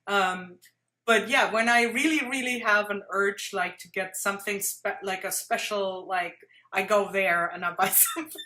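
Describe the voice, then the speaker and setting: Chatty woman host, bright voice. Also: medium pitch, fairly even intonation, moderate pace. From Germany. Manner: chatty, many fillers, laughs readily. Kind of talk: casual interview chat.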